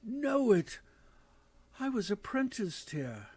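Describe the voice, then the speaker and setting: A man's voice: sits high, unhurried, creaky, pitched above the performer's own voice, exaggerated voice. A man voicing an old miser crying out. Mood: excited. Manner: cries out with strong emotion.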